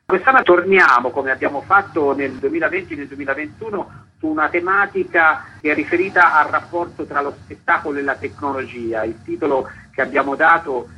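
A man's voice: fairly high pitch, animated, brisk, few pauses, pushed and bright. A man speaking as a festival curator in a radio interview, explaining in long, linked sentences. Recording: dull lo-fi radio connection, clear noise.

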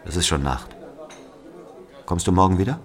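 A man's voice: low voice, lively intonation, normal speed, crowd noise. An actor playing a troubled Algerian man reliving his haunted boyhood in an intense, introspective literary monologue. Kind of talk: literary monologue, dramatic narration. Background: plucked guitar music.

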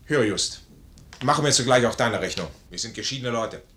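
An actor playing a proud, honourable discharged major, formal and commanding, in a 1950s German radio play. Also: male voice, mid-range voice, animated, fairly quick, a bit bright, a little hiss or hum, natural voice. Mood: proud, bitter.

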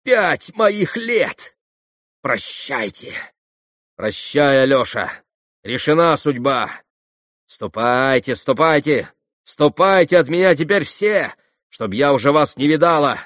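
A man reading a story as a passionate, wounded and spiteful young woman given to shrill, impulsive outbursts. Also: expressive, measured pace, dry recording, studio quality, lightly acted. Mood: wild excitement. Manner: shrill exclamations, impulsive swings between rage and anguish.

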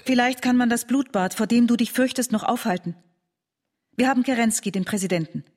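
An actress playing an earnest, conciliatory liberal woman in a German radio drama, reasoning in a measured way. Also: fairly high pitch, darkish tone, close to an everyday voice. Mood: hopeful. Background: music.

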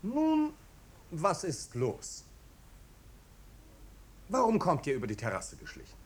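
Theatrical, swooping snobbish marquis. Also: male voice, wildly swooping pitch, takes it slowly, husky, heavily acted. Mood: amused, impatient. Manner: languid, theatrical, ironic. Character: snobbish, amused aristocratic marquis, blasé and mocking. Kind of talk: 1950s German radio drama acting. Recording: old radio recording, rumbling background noise.

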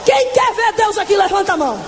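A woman in her twenties preaching her testimony in a fervent, shouting evangelical sermon, stretching words for emphasis. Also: extremely high pitch, sing-song, a little fast, faint music behind, some chatter behind. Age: 20s.

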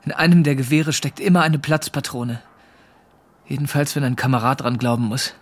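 An actor playing a weary young German soldier in a radio drama, speaking in a subdued, terse way. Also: male voice, mid-range voice, fairly even intonation, normal speed, close to an everyday voice. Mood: weary, bitter. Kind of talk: radio drama acting, dialogue and narrated thoughts.

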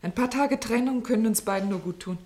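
An actress playing an anguished, dutiful clinic worker in love in a German radio drama, earnest, formal and restrained. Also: female voice, a little high, brisk, noisy background, close to an everyday voice. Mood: quietly pleading. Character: anguished, resigned, dutiful woman working at a clinic, in love with a doctor.

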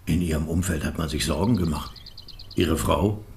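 An actor playing a polite, hesitant police detective in a radio play, halting and formal as he probes. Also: very deep voice, vocal fry, darkish tone. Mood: hesitant probing.

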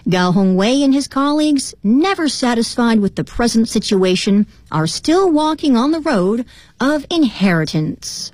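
A woman reading a scripted documentary narration in an expressive, lilting way. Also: fairly high pitch, a little slow, dry recording.

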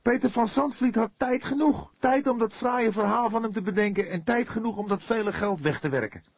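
A hot-headed young male detective, played by a male audiobook narrator, pitched far up from a low register. He speaks loudly and eagerly, with an excitable, indignant edge. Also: brisk, slightly rough, no room sound. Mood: heated, indignant. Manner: loud, excitable, heated delivery.

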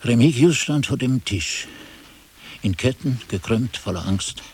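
An actor playing a monk chronicler, narrating his memories in a grave, measured way for a German radio drama. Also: mid-range voice, animated, gravelly, darkish tone, slightly rough, full character voice.

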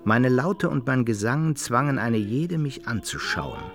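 A man's voice: medium pitch, expressive, measured pace. An actor playing a self-satisfied rogue narrating his love conquests in a literary radio drama, in archaic phrasing. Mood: smug, amorous. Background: opera-like music.

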